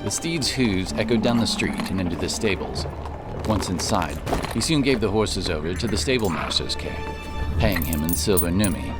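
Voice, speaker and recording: A man's voice: sits low, lively intonation, moderate pace, over loud music. A man reading scripted narration for a fantasy audio drama.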